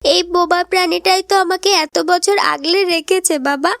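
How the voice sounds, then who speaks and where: Devoted little girl, cartoon melodrama. Extremely high voice, pleading and plaintive, dry recording. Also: fairly even intonation, normal speed, a bit bright, no echo. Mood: loving, earnest.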